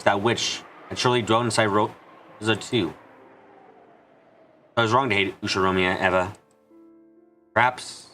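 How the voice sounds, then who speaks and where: A man giving an earnest amateur dramatic reading of a visual novel, halting for dramatic pauses. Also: fairly low pitch, pushed and bright, a little hiss or hum. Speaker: amateur fan dubber. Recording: music behind the voice. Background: background music.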